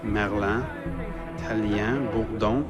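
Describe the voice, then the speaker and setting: Low-voiced young assassin, dryly determined. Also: male voice, fairly even intonation, slow, muffled recording. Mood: musing, investigative. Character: determined young male assassin in revolutionary France. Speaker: lead character. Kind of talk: cinematic video game acting. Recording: music and busy street crowd behind.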